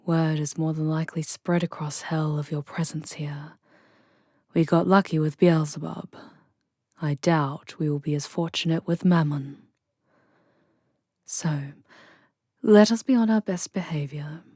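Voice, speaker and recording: Woman playing a charming demon guide, husky and breathy, dark mellow tone, formal seductive diction, ASMR roleplay. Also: deep voice, fairly even intonation, measured pace, long pauses, no echo, clean studio sound. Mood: hushed, teasing. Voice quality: soft, breathy, often whispery. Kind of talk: ASMR roleplay acting, intimate and theatrical.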